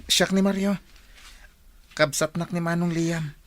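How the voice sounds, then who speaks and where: A performer in an Ilocano radio drama playing a chatty, matter-of-fact younger brother who talks in quick questions. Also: mid-range voice, steady tone, no room sound, lightly acted. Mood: curious, matter-of-fact.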